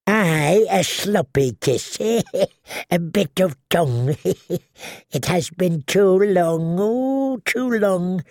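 A man voicing an ancient, tiny dwarf woman seer who speaks slowly and eerily with a croaking, drawn-out voice. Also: sits high, animated, darkish tone, no room sound, studio quality, voice pushed up, exaggerated voice. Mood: wheedling. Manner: slow, wheedling, prophetic. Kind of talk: audiobook character voice.

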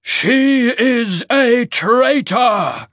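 A man voicing a wrathful, imperious shaman mage lord in a fantasy audiobook, with booming, commanding pronouncements. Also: expressive, slow, a bit bright, no room sound, clean studio sound, heavily acted. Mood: thunderous fury. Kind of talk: dramatic fantasy audiobook character.

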